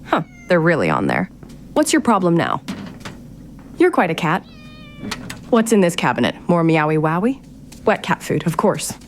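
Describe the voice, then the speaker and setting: A woman's voice: fairly low pitch, wildly swooping pitch, moderate pace, long pauses, a little hiss or hum. An actress playing a sardonic, curious young woman in a fiction podcast drama, thinking aloud to herself in a naturalistic, exasperated way. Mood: incredulous, amused. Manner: wry, sarcastic, exasperated muttering to herself. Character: sardonic, curious young woman investigating her late relative's mysterious house. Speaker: lead actor in an audio drama.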